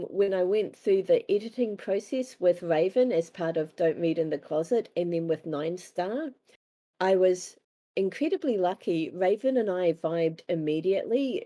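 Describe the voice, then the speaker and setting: Mellow-voiced New Zealand woman, candid storyteller. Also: lowish voice, unhurried. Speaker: interviewed author. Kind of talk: casual interview storytelling.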